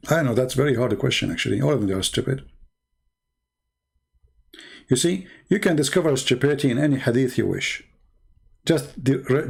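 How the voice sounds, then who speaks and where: A man's voice: mid-range voice, gravelly, darkish tone, husky. A middle-aged man from the Middle East whose first language is Arabic, hosting a live-stream lecture in an emphatic, repetitive way and throwing rhetorical questions at his viewers.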